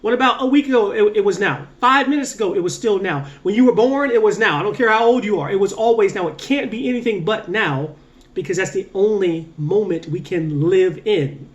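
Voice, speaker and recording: A man talking as a mindset coach, giving an earnest, casual motivational talk to camera, rising on key points and slowing down to stress his words. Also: high-pitched, highs cut off. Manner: earnest, repetitive, emphatic with drawn-out slow endings.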